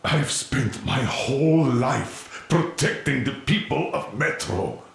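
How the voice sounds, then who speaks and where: Game casting: hard, driven commander, middle-aged, slow and gravelly, commanding. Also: male voice, medium pitch. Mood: grim, forceful. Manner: emphatic, commanding. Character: hard, driven military commander protecting his people. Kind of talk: dramatic video game acting. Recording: very echoey, reverberant room sound.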